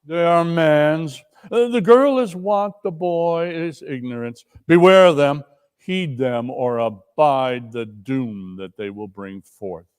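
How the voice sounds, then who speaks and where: A man's voice: on the high side, slow, clean tone. A man voicing a giant Christmas spirit, stern and grave, declaiming warnings in a dramatic storybook reading.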